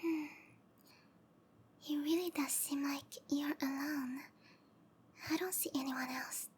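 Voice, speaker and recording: High, breathy, clingy yandere snake girl. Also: fairly even intonation, takes it slowly, slight background noise. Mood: puzzled, doting. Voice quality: soft, breathy, whispery. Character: sweet, clingy, obsessive young female snake-monster girlfriend. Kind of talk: roleplay ASMR acting.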